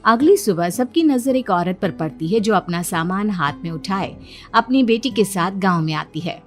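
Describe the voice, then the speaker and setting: A woman's voice: mid-range voice, lively intonation, normal speed, faint music behind. A woman reading scripted folk-tale narration as a storyteller.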